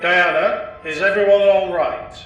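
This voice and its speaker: A commanding starship captain acted by a man in a sci-fi audio drama. His fairly high male voice rings with heavy echo over music, brisk and in charge. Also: fairly even intonation, a bit bright, muffled recording. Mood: firm. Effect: intercom. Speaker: actor. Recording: very echoey, music underneath.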